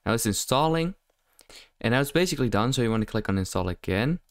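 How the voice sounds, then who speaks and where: A man giving a casual software tutorial voice-over in a loosely improvised, hesitant way, close to the microphone. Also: mid-range voice, expressive, fast, no echo. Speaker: tutorial host. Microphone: close mic, screen-recording voice-over.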